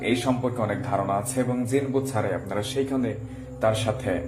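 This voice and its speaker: A man's voice: lowish voice, brisk. A man narrating a ghost story in the first person as a horror storyteller. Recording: echoey voice over music bed.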